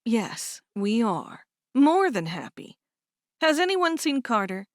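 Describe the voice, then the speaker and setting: A woman reading aloud and voicing a kind, calm older sister who speaks gently and reassuringly. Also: a little high, slow pace, no room sound, clean studio sound, voice pushed up. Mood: soothing.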